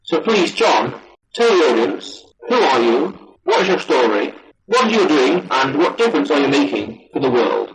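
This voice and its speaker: A man living in the UK who hosts a podcast, asking questions in a brisk, casual way. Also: on the high side, very animated. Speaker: podcast host. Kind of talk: podcast interview host. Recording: roomy sound with music behind.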